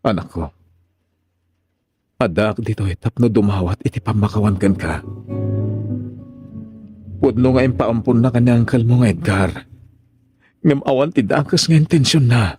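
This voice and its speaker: A man's voice: mid-range voice, normal speed, long pauses, over music, close to an everyday voice. A man acting in a radio drama as a tender, remorseful middle-aged father back from prison, asking forgiveness. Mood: pleading.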